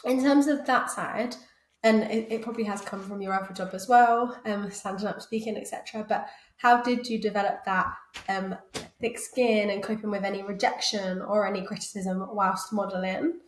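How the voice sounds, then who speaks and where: A young woman co-hosting a podcast, asking measured questions. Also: medium pitch, fairly even intonation. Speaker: podcast co-host. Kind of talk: casual interview questions. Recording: echoey room.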